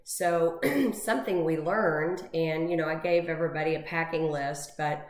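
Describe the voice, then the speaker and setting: A low-pitched woman talks in a loose, chatty way, full of "you know" and restarts. Her voice is worn and fading, and she sounds as if she is in an echoey room. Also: fairly even intonation, normal speed. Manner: chatty, emotional, rambling with many fillers. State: losing her voice. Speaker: co-host of a real estate talk show. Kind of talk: casual chat.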